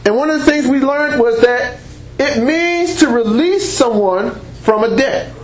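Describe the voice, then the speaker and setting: A man preaching and teaching the Bible to a congregation without a microphone, speaking loudly and emphatically and breaking in with exclamations of praise. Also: very high-pitched, a little slow. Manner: loud and emphatic, with call-and-response exclamations like praise and amen. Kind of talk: sermon-style teaching to a congregation. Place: church meeting room before a congregation. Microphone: unamplified voice picked up by a room mic. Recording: echoey room recording.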